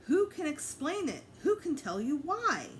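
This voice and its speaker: Woman acting a whiny, high-pitched little boy. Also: sing-song, measured pace, a little hiss or hum. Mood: eager, insistent. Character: five-year-old boy, tantrum-prone, bossy, dramatic little brother.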